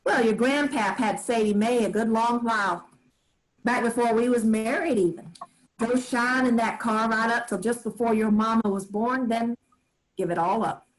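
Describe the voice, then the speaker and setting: A woman's voice: fairly high pitch, fairly even intonation, moderate pace, lightly acted, rough recording. A woman playing a folksy old grandmother who tells family stories in a drawling, homespun way. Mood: fond. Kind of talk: staged play reading.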